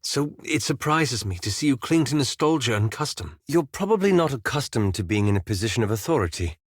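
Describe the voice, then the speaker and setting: A scholarly male wizard from a fantasy video game, with a mid-range voice. He speaks formally and deliberately, earnest and a little self-doubting. The recording is close and dry, with no room sound. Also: medium pitch, sing-song, husky, dry recording. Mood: concerned, formal. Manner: formal, measured, hesitant, thoughtful phrasing. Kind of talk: video game voice acting, dialogue lines.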